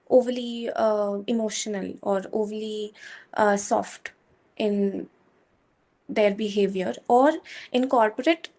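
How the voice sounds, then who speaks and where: A woman in her twenties with a soft voice, answering interview questions in a measured, hesitant way with many fillers. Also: on the high side. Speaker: guest, software engineer.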